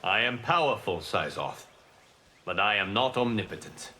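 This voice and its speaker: Solemn god, lowish voice, bright forceful tone, stately, benevolent, fighting game dialogue. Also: male voice, lively intonation, moderate pace. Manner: stately, formal. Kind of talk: video game fight banter. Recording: faint background noise.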